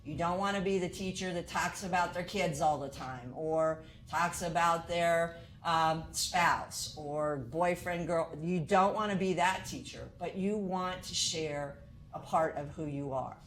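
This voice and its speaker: A woman's voice: fairly low pitch, measured pace, a little hiss or hum. A woman college instructor lecturing to teachers in an earnest way, asking many rhetorical questions.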